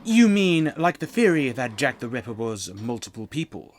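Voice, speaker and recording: A man's voice: mid-range voice, sing-song, moderate pace, close to an everyday voice. A man playing a friendly, enthusiastic college teacher in an amateur audio drama. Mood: encouraging.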